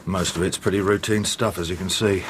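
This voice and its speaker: A man acts out a blunt British chief inspector with an English accent and a fairly low voice. He is matter-of-fact and dryly joking, in radio drama style, over light background noise. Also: fairly even intonation, brisk, natural voice. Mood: businesslike. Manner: matter-of-fact, wry, colloquial.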